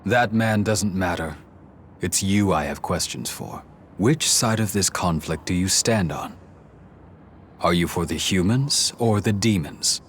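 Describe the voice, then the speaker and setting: Deep-voiced, earnest guardian construct. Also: male voice, a little hiss or hum. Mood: probing. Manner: formal, measured, principled. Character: earnest male humanoid construct made to protect humanity. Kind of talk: dramatic video game voice acting. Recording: loud game music underneath.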